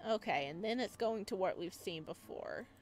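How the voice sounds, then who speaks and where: A woman's voice: mid-range voice, expressive, normal speed, a little mellow, a little hiss or hum. A woman playing through a visual novel, reading it aloud with dramatic narration, occasional stumbles and casual asides. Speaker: video game playthrough host.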